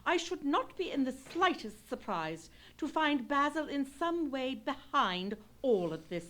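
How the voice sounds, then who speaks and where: A woman's voice: sits high, very animated, a little slow, fairly bright tone, heavily acted. A woman playing a firm, loyal English lady of the manor in a live radio play, speaking formally and with disapproval. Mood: disapproving, loyal. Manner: formal, stately, resolute. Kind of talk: live old-time radio play acting.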